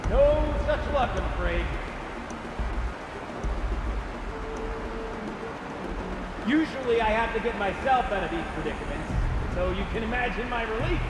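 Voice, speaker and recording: An actor playing an eccentric, cheerful, chatty explorer in a video game, with a theatrical, wordy, rambling manner. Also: male voice, very high voice, reverberant, very noisy. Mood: rueful, chatty. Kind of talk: video game character dialogue.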